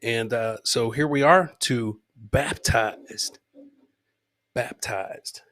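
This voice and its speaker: Male comedy podcast host, mid-pitched and bright, casual live chat, rambling, jokey, repetitive phrasing. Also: extremely slow. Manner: rambling, jokey, repeats his own phrases.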